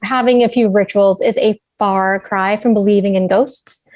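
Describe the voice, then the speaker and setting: A woman's voice: medium pitch, fairly even intonation, normal speed, darkish tone, no echo, natural voice. A woman acting a seasoned female climber, determined and level-headed. Her mid-range voice is conversational and recorded dry, as in a drama read-through. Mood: matter-of-fact. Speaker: actor in a table read. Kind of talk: conversational script reading.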